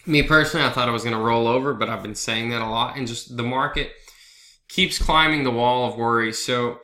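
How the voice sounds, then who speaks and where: Bright-voiced man with a head cold. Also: medium pitch, fairly quick, roomy sound. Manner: conversational, loose, with fillers and asides like "right?". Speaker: trader hosting a market analysis video blog. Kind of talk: casual chart walkthrough over a screen recording.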